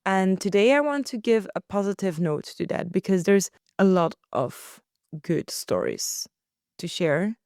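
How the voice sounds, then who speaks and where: Relaxed Belgian woman podcasting from home. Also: mid-range voice, measured pace, no echo, clean studio sound. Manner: relaxed, chatty, laughs easily. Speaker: podcast host. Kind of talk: casual solo podcast chat. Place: living room at home.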